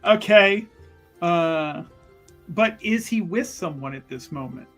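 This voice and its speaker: Guy playing a polite smooth-talking cult schemer in a fantasy game, highish voice, trying to keep cool. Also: animated, takes it slowly, fairly bright tone, faint music behind, full character voice. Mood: quietly tense. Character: smooth, calm male cult schemer keeping his cool.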